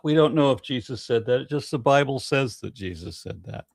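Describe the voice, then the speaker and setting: A man leads a loose panel talk, trailing off and repeating words as he thinks. His voice is mid-pitched and sits close and dry on the mic, with no room around it. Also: lively intonation, fairly quick, creaky, no echo. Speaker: host. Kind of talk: casual panel discussion.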